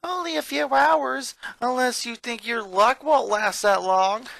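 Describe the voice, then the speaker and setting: A man's voice: very high voice, measured pace, vocal fry, fairly bright tone, dry recording, voice pushed up, heavily acted. A man voicing a young comedienne girl who is playful, teasing and fond of puns. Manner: playful, punning.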